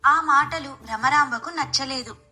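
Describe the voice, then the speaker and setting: A woman's voice: high-pitched, unhurried, bright, forceful tone, soft background music. A woman narrating a scripted moral bedtime story as the storyteller.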